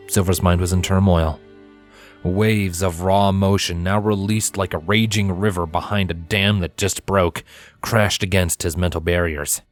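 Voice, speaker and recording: A man reading a scripted story aloud as its narrator. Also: low voice. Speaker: fan fiction narrator. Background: background music.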